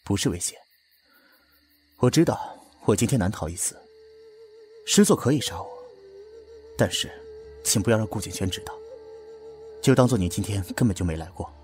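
A man's voice: on the low side, expressive, moderate pace, long pauses, darkish tone, soft background music, lightly acted. A man playing a defiant, self-sacrificing captive devoted to his friend, in a Republican-era Chinese military radio drama. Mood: resigned pleading. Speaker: amateur audio drama voice actor.

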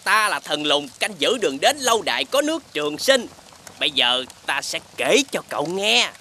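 A man's voice: a little high, moderate pace, a little hiss or hum, heavily acted. A man voicing a friendly dwarf guardian who tells old tales expressively in a cartoon. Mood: solemn storytelling. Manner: expressive storytelling. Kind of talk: animated fairy tale storytelling. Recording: music behind the voice.

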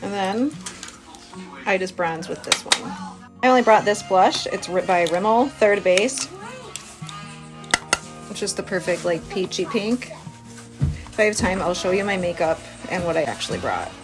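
A woman in her thirties talks casually through a beauty routine in an informal, chatty way. Her pitch is average, and clear music plays behind her. She records on a phone held at arm's length in a small room. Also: lively intonation, a little slow, pauses often. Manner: chatty, informal, explaining while busy applying makeup. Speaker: beauty vlogger. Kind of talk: casual makeup tutorial chat. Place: small cabin room by a window.

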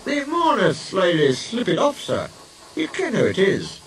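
A man's voice: sits high, huge pitch swings, unhurried, pitched above the performer's own voice, full character voice. A man voicing a sly, mock-innocent twin steam engine with a Scottish accent in a children's story. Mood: sly, cheerful.

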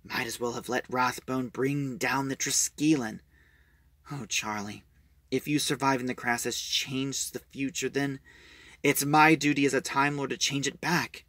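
Man acting a hushed, brooding time traveller. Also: mid-range voice, no echo, natural voice. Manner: hushed, dramatic musing to himself. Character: brooding, self-reproaching male alien time traveller.

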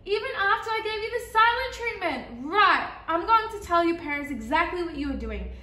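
A woman's voice: very high voice, sing-song, normal speed, sharp tone, full character voice. A woman voicing an exasperated, pleading storybook character in a dramatic, emphatic children's read-aloud. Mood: indignant, threatening. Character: pleading, exasperated talking picture-book character, increasingly frantic. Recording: echoey, roomy sound.

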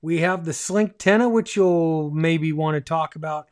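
A man's voice: on the high side, a little slow. A man in his fifties hosting a casual livestream with enthusiasm, often repeating phrases for emphasis. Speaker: livestream host. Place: small home radio room, indoors.

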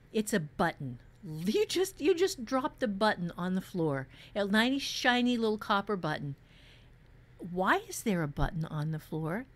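A woman's voice: mid-range voice, darkish tone. Emphatic woman teaching fiction writing. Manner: emphatic, dramatizes imagined questions. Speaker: podcast co-host and writing teacher.

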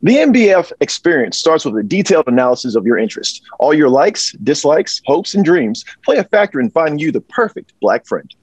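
A man in his thirties playing a slick, upbeat concierge pitching a service at a script read, with a husky voice. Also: very animated, brisk, few pauses, no echo. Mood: salesy. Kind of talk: comedic sales pitch, table read. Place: indoor office or storeroom. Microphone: wireless earbuds, video call.

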